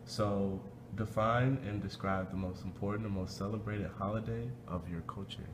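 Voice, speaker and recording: A young man interviewing fellow students around campus, relaxed and quick to laugh. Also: on the low side, noisy background. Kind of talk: casual campus interview questions.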